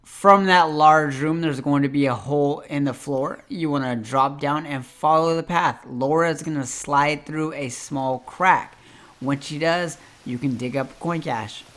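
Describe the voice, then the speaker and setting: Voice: medium pitch, a little slow, fairly bright tone. A host narrating a casual step-by-step video game guide.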